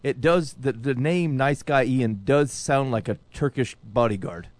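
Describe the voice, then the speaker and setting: A man's voice: mid-range voice, measured pace, darkish tone, no room sound. A man co-hosting a podcast with casual joking banter and a wry, mock-formal way of putting things. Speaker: podcast co-host.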